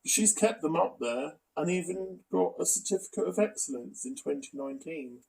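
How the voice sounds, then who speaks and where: Gravelly man presenting an exposé. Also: fairly high pitch, lively intonation, moderate pace, a little mellow, poor audio. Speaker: video commentator presenting an exposé. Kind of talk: spoken commentary reading out evidence.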